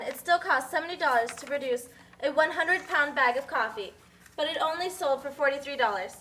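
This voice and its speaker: A woman plays the explainer of a puppet show. The voice is very high and bright, with faint noise behind it. She delivers scripted facts in an earnest, explanatory way. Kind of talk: scripted educational narration.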